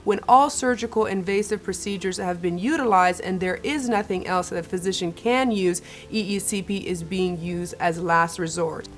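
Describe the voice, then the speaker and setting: A woman's voice: on the low side, normal speed, hardly any pauses, a little hiss or hum. A woman giving a promotional explanation as a clinic spokesperson, in emphatic, plain statements.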